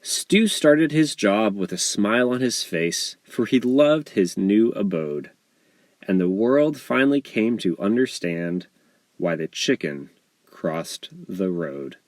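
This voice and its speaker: Slow, animated male storybook narrator. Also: on the low side, dry recording. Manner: expressive, measured storytelling delivery. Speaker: author reading his children's book aloud. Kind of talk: scripted storybook narration in rhyme.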